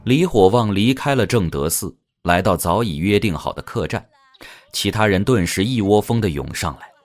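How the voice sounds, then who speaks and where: A man's voice: fairly low pitch, lively intonation, moderate pace. A man narrating an audio drama in a dramatic, scripted storytelling style.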